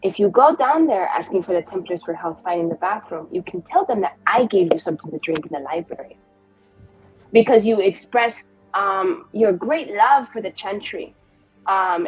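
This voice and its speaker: A woman's voice: medium pitch, lively intonation, moderate pace, husky, soft background music, poor audio. A woman playing a tabletop game, improvising in character in a coaching, scheming manner.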